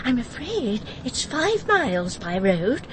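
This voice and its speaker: A woman's voice: big pitch swings, slow. An actress playing a genteel, polite, chatty woman author in a radio drama. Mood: pleasantly welcoming. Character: genteel, welcoming unmarried woman author living by river. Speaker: actor. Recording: clear background rumble and noise.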